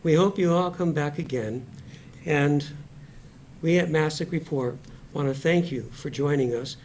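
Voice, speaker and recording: A man hosting a panel discussion, speaking in an earnest, deliberate way and repeating phrases for emphasis. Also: a little high, a little mellow, a little hiss or hum. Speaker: host and discussion moderator.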